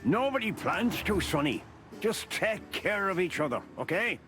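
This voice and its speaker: Kindly elderly animal informant, noir game. Folksy, world-weary, animated delivery, music behind. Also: male voice, sits high, moderate pace. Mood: warning, fatherly. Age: older. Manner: folksy, fatherly, wistful. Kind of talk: film-noir video game acting. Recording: music under the voice.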